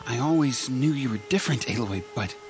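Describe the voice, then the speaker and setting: A gentle, earnest young villager, played by a man, speaking softly and a little haltingly. His voice sits low and quiet over faint game music. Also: animated, lightly acted. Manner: soft, hesitant, earnest. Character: gentle, admiring young tribesman. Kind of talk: video game cutscene acting.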